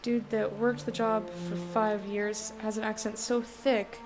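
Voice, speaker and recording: A woman's voice: mid-range voice, little pitch movement, unhurried, music in the background. A woman who hosts a let's play, reading the game's text aloud and chatting casually.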